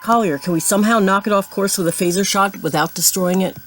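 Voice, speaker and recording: A woman's voice: medium pitch, fast talker, faint music behind, natural voice. A woman playing a weary but decisive starship captain in a sci-fi audio drama, terse and wry. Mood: brisk, wry.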